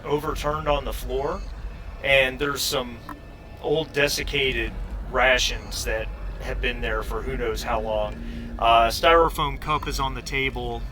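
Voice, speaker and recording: A man narrating a tabletop role-playing game as game master, describing scenes in a halting, improvised way. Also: medium pitch, pushed and bright. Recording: distant mic with clear background noise.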